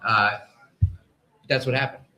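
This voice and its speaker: Measured man in an echoey room. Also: medium pitch, steady tone, pushed and bright. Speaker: sports reporter and panelist. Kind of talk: live panel sports discussion. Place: live convention panel stage.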